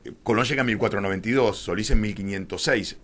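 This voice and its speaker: A man's voice: on the low side, racing, fairly bright tone, breathy edge. A middle-aged man with a Rioplatense Argentine accent, explaining his views as a radio interview guest in an opinionated, irreverent, emphatic way.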